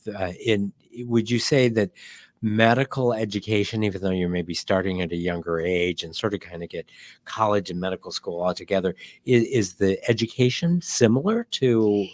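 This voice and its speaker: A man hosts an interview, asking curious, friendly questions. His voice is fairly low and the sound is close and dry. He uses the odd filler as he leads the talk. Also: moderate pace, a little mellow, dry recording. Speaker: host, medical director. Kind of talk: interview hosting.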